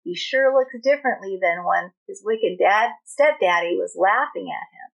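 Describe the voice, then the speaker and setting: A woman reading a children's storybook aloud in an expressive manner, as a teacher does for young children. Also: mid-range voice, moderate pace, creaky, rough recording.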